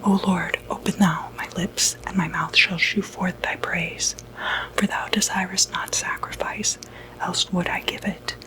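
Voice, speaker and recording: ASMR roleplay: woman plays a gentle exorcist doctor, whispered, very slow, soothing. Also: lowish voice, fairly even intonation, lightly acted, rough recording. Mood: solemn, prayerful. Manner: hushed, unhurried, hesitant, soothing. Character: gentle, reassuring doctor who treats demonic possession.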